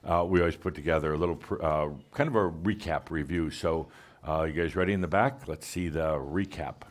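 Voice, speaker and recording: A man with a very low voice hosts a gathering in an island pavilion. He talks in an easygoing, chatty way, with plenty of fillers and chuckles. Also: a little fast. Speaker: host. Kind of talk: casual welcome talk to a live group. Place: open pavilion on a Hawaiian island.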